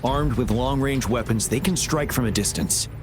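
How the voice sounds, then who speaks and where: A man acting as a game's army general, formal and measured, with music playing behind him. He speaks with steady authority and composure, as if briefing troops. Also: medium pitch, lightly acted. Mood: resolute, authoritative. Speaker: military commander. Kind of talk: scripted video game dialogue.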